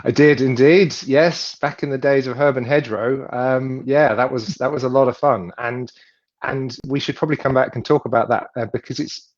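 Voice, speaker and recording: A man's voice: mid-range voice, steady tone, normal speed, no room sound. A friendly man in his thirties answers interview questions over a webcam, rambling and restarting as he goes. His voice comes through dull and dry on the call audio. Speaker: web design agency founder, guest. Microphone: webcam on video call.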